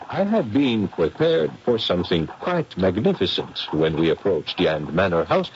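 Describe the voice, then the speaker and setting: An actor playing a courteous, sceptical French professor with a French accent, speaking in ornate, formal phrases in an old radio drama. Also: male voice, low-pitched. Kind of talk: old-time radio drama acting and narration. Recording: muffled, faintly noisy 1970s radio recording.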